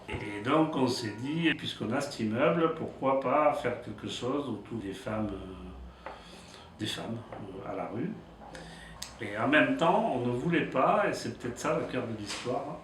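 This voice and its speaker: A man reflects on how a housing project began, often pausing and restarting as he looks for words. His voice sits at a middle pitch. He is picked up from a distance in a roomy space with a faint hum. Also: fairly bright tone. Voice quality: hesitant, searching for words. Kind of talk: reflective interview talk.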